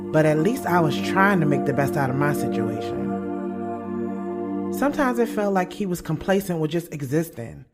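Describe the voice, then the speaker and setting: A woman's voice: low-pitched, lightly acted. Casting: actress as blunt, sarcastic young American woman telling her own story in an audio drama, slangy and candid, music underneath. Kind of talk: first-person scripted narration in an audio drama.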